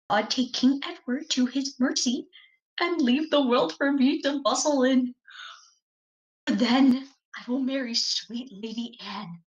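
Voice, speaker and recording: Young woman as a slow, scheming villain. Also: a little high, fairly bright tone. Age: young adult. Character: scheming, ambitious male villain plotting his rise. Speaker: acting student. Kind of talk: Shakespearean radio play monologue. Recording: slightly dull recording.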